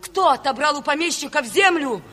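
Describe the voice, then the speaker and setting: A woman's voice: high-pitched, animated, moderate pace, pushed and bright. A woman acting a fiery, defiant Bolshevik agitator, impassioned and declamatory, in a 1970s Soviet radio drama. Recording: echoing hall with crowd murmur.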